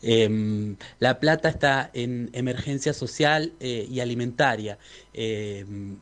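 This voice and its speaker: A man's voice: mid-range voice, takes it slowly. A man with an Argentine Rioplatense accent speaking as a street vendors' spokesperson, giving an earnest activist statement in formal wording.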